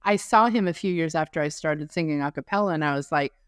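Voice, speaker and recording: Low-voiced woman co-hosting a chatty podcast. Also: fast, clear, resonant tone, no echo, studio quality. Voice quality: low deep speaking voice. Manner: chatty storyteller, acts out quoted voices. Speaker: podcast co-host. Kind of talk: casual chat.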